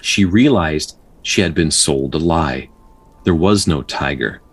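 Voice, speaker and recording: Man, very low voice, slow folktale narration, measured pauses, lively intonation, storyteller host. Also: husky. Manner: measured, pausing delivery when telling the tale. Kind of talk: slow dramatic folktale narration with casual asides.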